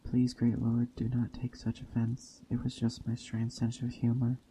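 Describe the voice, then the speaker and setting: A man voicing a wily, seductive witch enchantress in a storybook tale, with soft, coaxing speech. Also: mid-range voice, completely flat delivery, normal speed, a little hiss or hum, lightly acted, rough recording. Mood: pleading, flattering.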